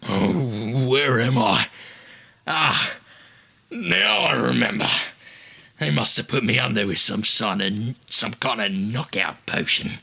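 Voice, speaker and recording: A gravelly private eye from a noir radio play, acted by a man. He is wry and hardboiled, with a husky, forward edge to his voice. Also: medium pitch, animated, slow, bright, forceful tone, heavily acted. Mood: groggy, musing.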